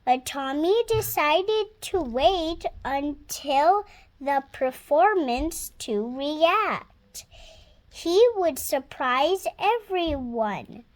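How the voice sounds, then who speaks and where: High-voiced little girl reading very slowly. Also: expressive, low-quality recording. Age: child, about 6 years old. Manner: slow, word by word, stumbling and self-correcting. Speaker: young reader practising reading aloud. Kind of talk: halting read-aloud of a children's storybook.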